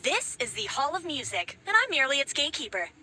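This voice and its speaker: A cheerful, laid-back giant flower. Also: female voice, sits high, sing-song, fast, bright, forceful tone, full character voice. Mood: friendly. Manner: friendly, slangy, easygoing. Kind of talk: video game dialogue. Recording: game audio with clear background noise.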